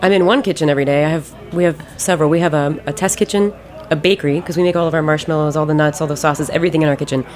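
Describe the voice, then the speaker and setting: A woman's voice: low voice, fairly even intonation, rapid-fire, few pauses, a little mellow. A woman in her late thirties with an American accent, talking informally and emphatically as a guest in a casual radio interview, often repeating words for emphasis. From Ohio, United States. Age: late 30s. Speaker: ice cream maker and business owner, interview guest.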